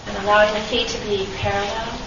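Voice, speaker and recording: A woman leading a guided meditation as a teacher, speaking gently and unhurriedly in short phrases with pauses between them. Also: medium pitch, level tone. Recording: very echoey room, distant mic, dull, noisy.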